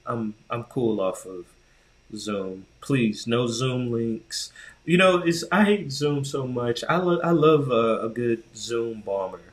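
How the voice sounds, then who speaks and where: A man's voice: mid-range voice, slow. An American man co-hosting a podcast, talking casually about tech in an informal, slangy, opinionated way. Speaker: podcast co-host.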